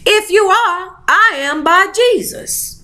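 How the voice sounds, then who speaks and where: A woman's voice: very high voice, lively intonation, slow pace, sharp tone, voice pushed up, full character voice. A woman voicing a disapproving older mother scolding her grown daughter in a memoir reading.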